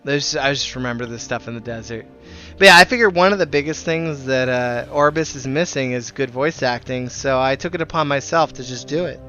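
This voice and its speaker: A man's voice: medium pitch, brisk, bright, forceful tone, dull audio, faint music behind. A man streaming a game live, chatting casually in a friendly, rambling way. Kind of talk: casual chat while streaming.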